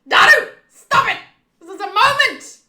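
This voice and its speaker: A woman's voice: very high voice, animated, unhurried, sharp tone, roomy sound. A woman streaming a visual novel, reading the narration aloud and reacting casually and expressively.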